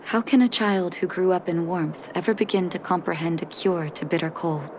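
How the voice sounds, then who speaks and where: A woman playing a grave, resolute young healer in a video game, measured and reflective as she grieves a lost brother. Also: on the low side, steady tone, noisy background, close to an everyday voice. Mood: solemn, reading aloud. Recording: game music behind the voice.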